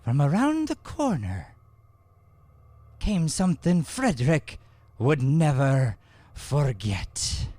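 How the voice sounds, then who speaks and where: Slow, dramatically swooping male narrator. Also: fairly high pitch, sing-song, dark tone, no echo. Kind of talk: improvised storytelling narration, old-time radio play.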